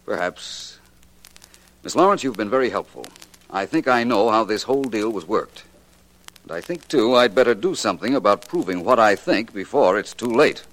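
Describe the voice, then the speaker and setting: An actor playing a composed, probing private detective in a late 1940s radio mystery, measured and courteous in his questioning. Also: fairly low pitch, animated, a bit bright, low-quality recording. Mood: thoughtful.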